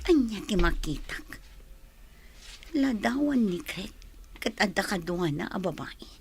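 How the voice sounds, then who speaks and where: A woman's voice: medium pitch, animated, unhurried, creaky, slight background noise. A woman playing a headstrong, argumentative young wife and daughter in an Ilocano radio drama, with an Ilocano accent. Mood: curious, suspicious. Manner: insistent, argumentative.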